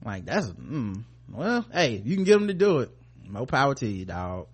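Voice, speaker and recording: A man's voice: mid-range voice, huge pitch swings, moderate pace, husky. An American man co-hosting a podcast in casual, profane, slangy chat, playfully addressing his listeners. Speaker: podcast co-host.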